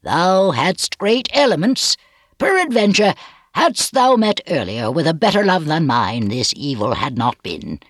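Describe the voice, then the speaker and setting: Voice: mid-range voice, sing-song, a little slow, dry recording, voice pushed up. A reader voicing a bitter, gloomy, vengeful old male physician with grave, archaic, rhetorical speech in a storybook reading. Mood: pitying.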